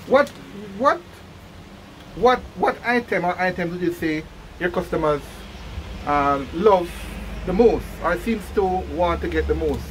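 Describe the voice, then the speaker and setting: An enthusiastic Jamaican man hosts a casual interview. His pitch moves in a lively way and he doubles up his phrases. There is some light noise around him, as in a small shop by a street. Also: unhurried. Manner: enthusiastic, repeats words and phrases. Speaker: vlog host and interviewer. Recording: noisy handheld on-location recording, traffic hum.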